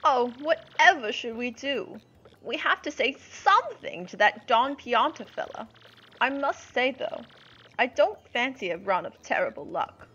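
A woman's voice: fairly high pitch, sing-song, unhurried, sharp tone, slightly rough, muffled recording, full character voice. A woman voicing a grand, genteel cloud-spirit lady who is posh, dramatic and fretting. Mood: fretful.

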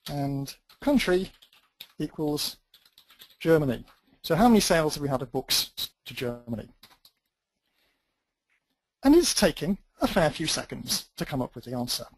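A man teaching a database tutorial, thinking aloud as he types through a live demo with dry, self-deprecating asides. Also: on the high side. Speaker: database instructor.

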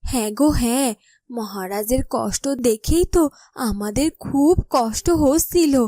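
A woman's voice: fairly high pitch, unhurried, warm tone, no echo, studio quality. A woman voicing a loyal, caring royal attendant in a fairytale cartoon, earnest and emphatic as she explains. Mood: pleased, earnest. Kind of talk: Bengali fairytale cartoon dialogue.

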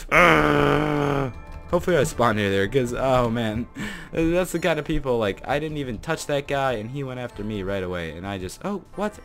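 Bright-voiced young man rambling over gameplay. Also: on the high side. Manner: rambling, self-mocking, bursts into laughing shouts. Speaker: gaming YouTuber. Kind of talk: casual gameplay commentary.

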